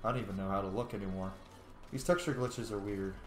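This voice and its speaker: A man's voice: on the low side, quick pace, slight background noise. A man hosting a let's play with casual, informal, exclamatory commentary. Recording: very echoey room, game music underneath. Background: loud game music.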